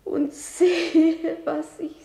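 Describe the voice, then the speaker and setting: Gentle, devout young noblewoman, classical radio drama. High-pitched, solemn and slow, wounded, with roomy reverberation. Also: level tone, vocal fry, full character voice. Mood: grieving, despairing. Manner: plaintive, solemn, declamatory. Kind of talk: classical stage tragedy in German radio drama. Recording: roomy, reverberant studio sound.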